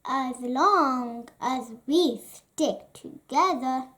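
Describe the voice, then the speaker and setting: A woman's voice: sits high, drawn-out words, fairly bright tone, full character voice. A woman voicing a stern, protective male billy goat in a storybook, firm and reassuring.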